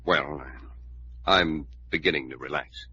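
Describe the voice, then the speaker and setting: Deep-voiced, coolly menacing man. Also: takes it slowly, pushed and bright, slightly rough, full character voice. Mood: quiet menace. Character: coolly menacing, controlled man taunting his enemies. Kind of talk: old-time American radio drama acting. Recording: dull, faintly noisy old radio recording.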